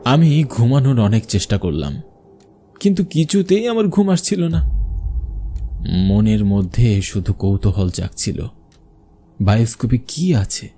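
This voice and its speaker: A man's voice: fairly low pitch, very animated, a little slow, long pauses, mellow, slight background noise. A man playing a frightened village showman, narrating his own horror story for a cartoon. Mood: haunted, tense. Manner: dramatic storytelling. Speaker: narrator and main character. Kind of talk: scripted first-person horror cartoon narration.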